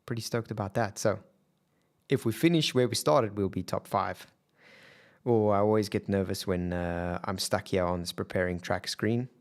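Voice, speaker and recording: A man's voice: on the low side, moderate pace. A man with a South African accent, chatting and thinking aloud as he streams a sim racing game. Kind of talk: live gameplay commentary. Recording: loud racing game engine noise behind. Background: loud car engine sounds from the game.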